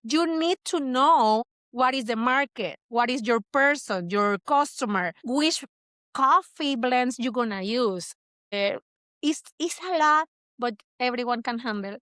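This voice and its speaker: A woman's voice: on the high side, a little slow, a bit bright, no room sound. A middle-aged bakery owner from Puerto Rico, a woman who speaks English as a second language with a Puerto Rican Spanish accent. She answers interview questions earnestly, often repeating words and restarting.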